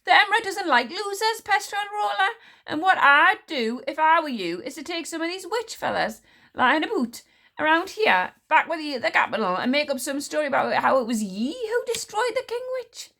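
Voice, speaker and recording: A woman's voice: high-pitched, big pitch swings, moderate pace, creaky, bright, forceful tone, voice pushed up, exaggerated voice. A woman voicing a stern, proud warrior queen who speaks in an icy, grim, clipped way. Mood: commanding. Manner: cold, clipped, commanding.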